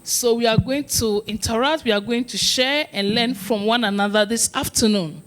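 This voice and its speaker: Woman moderator with a higher voice. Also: moderate pace. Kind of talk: introducing a forum session.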